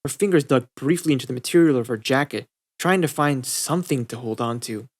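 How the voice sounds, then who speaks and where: A man's voice: medium pitch, fairly quick. A man reading scripted fiction aloud as an audiobook narrator.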